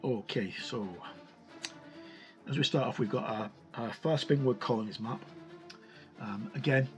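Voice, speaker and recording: A man giving a casual, halting review of a tabletop game product in an informal way. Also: mid-range voice, dull audio. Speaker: video reviewer. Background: music.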